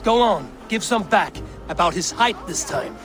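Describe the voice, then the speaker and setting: A seasoned Viking warrior mentor for a video game cutscene, with a higher-pitched male voice over music. He is bold and declamatory, goading and teasing while keeping a sense of honor. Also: big pitch swings, normal speed. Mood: goading, sly.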